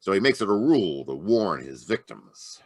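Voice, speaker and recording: An actor playing a blustering police inspector with a blunt delivery in a live radio drama. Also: male voice, sits low, big pitch swings, normal speed, no room sound, studio quality, heavily acted. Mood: gruff. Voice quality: brash, blunt American delivery. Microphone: video call.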